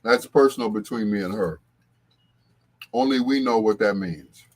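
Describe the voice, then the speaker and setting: A man's voice: on the low side, moderate pace. A man hosting a casual livestream, talking to viewers in a rambling, conversational way. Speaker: livestream host.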